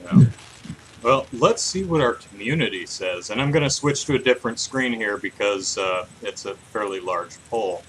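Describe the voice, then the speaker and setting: A man in his thirties hosting a podcast in casual chat, with an animated, self-deprecating way of telling stories. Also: on the low side, normal speed, low-quality recording. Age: 30s. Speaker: podcast host. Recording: clear steady background noise.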